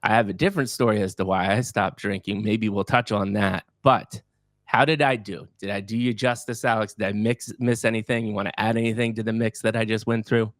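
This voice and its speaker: A man in his forties hosting a podcast, energetic and effusive, often repeating words as he gets excited. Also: lowish voice, fairly quick, dry recording, clean studio sound. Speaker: podcast host. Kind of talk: enthusiastic podcast interviewing.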